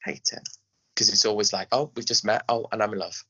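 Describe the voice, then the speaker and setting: A man's voice: lowish voice, fairly even intonation, a little fast, pushed and bright, husky, dull audio. A man chatting casually as an interview guest, speaking emphatically and often acting out little asides. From England. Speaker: podcast guest, pantomime director.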